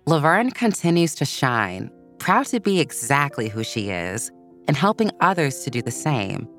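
Narrator for kids' biography podcast: expressive, measured, animated melody, light music bed. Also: mid-range voice. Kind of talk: scripted children's biography storytelling narration. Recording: soft music bed behind voice. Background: background music.

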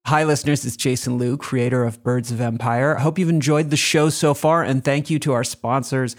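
A man's voice: medium pitch, fairly even intonation, moderate pace. A man, the creator of an audio show, reading a scripted sponsor message in a conversational podcast style.

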